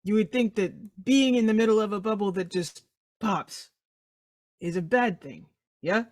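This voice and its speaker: Measured man playing a tabletop game. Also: sits high, a little mellow, no room sound, clean studio sound. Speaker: player. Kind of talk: tabletop roleplay, explaining by analogy.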